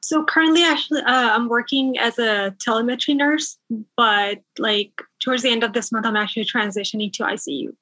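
A woman's voice: fairly high pitch, a little fast, sharp tone, dry recording, rough recording. A young woman from Bangladesh, a nurse, chatting casually in an interview over a video call, with frequent fillers and light laughter. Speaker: nurse guest.

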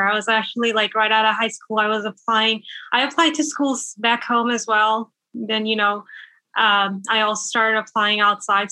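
A young woman from Bangladesh talks with a bright, slightly high voice. She chats easily, with plenty of fillers and laughs. She is heard close and dry over a video call. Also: dry recording, low-quality recording. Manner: chatty, laughs often, many fillers. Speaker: nurse guest. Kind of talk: casual interview chat.

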